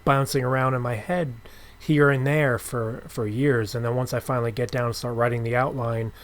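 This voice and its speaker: A man with a medium-pitched voice talks as a podcast host. He is informal and reflective, explaining his points in relaxed, conversational interview style. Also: moderate pace. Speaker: podcast host and comic writer. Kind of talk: casual interview chat.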